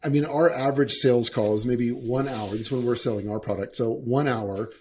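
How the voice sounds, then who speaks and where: A man's voice: medium pitch, a little fast, a little hiss or hum. A man hosting a podcast, speaking earnestly in casual conversation and often repeating words as he forms his thoughts. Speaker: podcast host.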